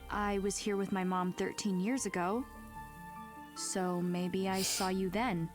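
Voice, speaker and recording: A woman's voice: mid-range voice, fairly even intonation, a little slow, lightly acted. Woman playing an unsettled, curious girl; earnest questions, light music behind. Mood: questioning. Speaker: game character. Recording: game audio with background music.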